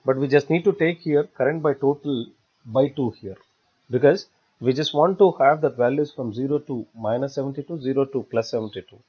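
A man giving a step-by-step software tutorial in a halting, repetitive way. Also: mid-range voice, slightly rough. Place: voice-over for screen recording. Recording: dull, lo-fi screencast audio.

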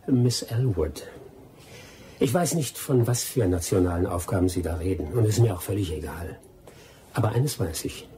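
An actor in a radio play playing a world-weary, sceptical middle-aged expatriate trader, measured and dry, with a husky voice. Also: male voice, sits low, expressive, warm tone, a little hiss or hum. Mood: sceptical, mocking. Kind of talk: radio play narration and dialogue.

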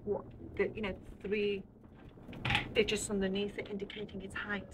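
A woman's voice: medium pitch, completely flat delivery, moderate pace. A woman giving an informal, instructive explanation to visitors as an air traffic controller, often putting questions to them. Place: air traffic control radar room, indoors. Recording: noisy room, handheld camera recording.

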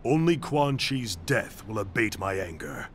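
An actor playing a grim, vengeful ninja clan master in a video game, speaking in solemn, formal phrases. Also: male voice, fairly low pitch, big pitch swings, a little slow, background noise. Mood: threatening. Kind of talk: video game cutscene acting.

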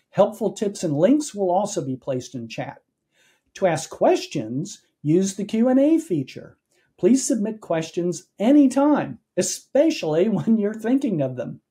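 A man in his fifties opens a virtual meeting with scripted remarks, measured and formal. His voice is mellow and somewhat husky. He speaks close to a webcam microphone. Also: a little high. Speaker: executive director and meeting host.

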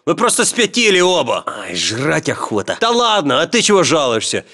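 An actor playing a teasing, irreverent Russian soldier in video game battle chatter, with a casual, needling manner. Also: male voice, on the high side, huge pitch swings, normal speed, bright, forceful tone, heavily acted.

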